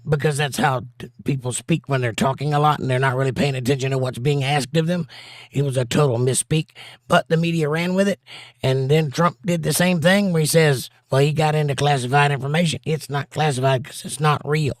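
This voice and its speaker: A man hosting a casual livestream, reading viewer comments aloud with jokes and silly bits, his voice a little hoarse and scratchy. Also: fairly high pitch, fairly quick, no echo. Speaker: livestream host reading chat.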